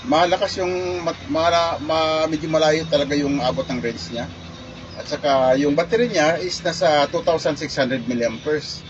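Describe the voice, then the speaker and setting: Casual male reviewer, slightly high voice, rambling and repetitive, muffled phone recording. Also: normal speed, noisy background. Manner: chatty, repeats himself, many restarts and fillers. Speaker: product reviewer vlogger.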